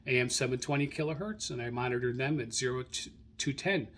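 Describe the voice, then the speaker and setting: A man with a medium-pitched voice talks informally as the host of a hobby show. He works through a list without a script, pausing to find his place. His delivery is halting, and he often backs up to correct himself. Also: a bit bright, slight background noise. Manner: halting, self-correcting, searching through notes. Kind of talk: informal spoken log rundown, unscripted.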